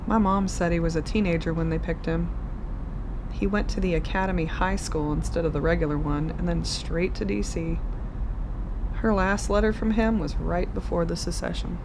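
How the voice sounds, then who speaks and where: A woman's voice: on the low side, steady tone, normal speed, background noise, close to an everyday voice. Curious, blunt young refugee played by a woman. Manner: casual, probing questions. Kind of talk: scripted radio drama dialogue.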